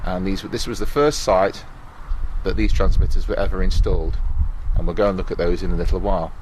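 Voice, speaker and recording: A man's voice: low voice, fairly quick, darkish tone. A middle-aged or older man giving an informal guided technical tour in a matter-of-fact, explanatory way. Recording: roomy echo with faint background noise.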